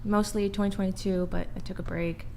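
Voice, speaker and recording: Twenties woman from texas, calm intro, mid pitch, faint room hiss. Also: fairly quick, creaky. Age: 20s. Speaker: panel guest.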